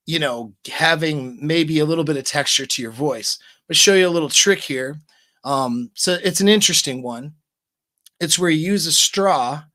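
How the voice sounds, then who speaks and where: A man giving relaxed, chatty dating-coach advice on a livestream, speaking close to a microphone. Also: dry recording. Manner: demonstrates voice pitch changes, relaxed and chatty. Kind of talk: casual livestream coaching talk.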